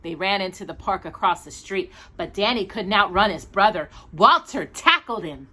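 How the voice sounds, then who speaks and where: A woman narrating a children's storybook expressively, in a dramatic, deliberate storytelling manner. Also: mid-range voice, expressive, sharp tone. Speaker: storytime reader.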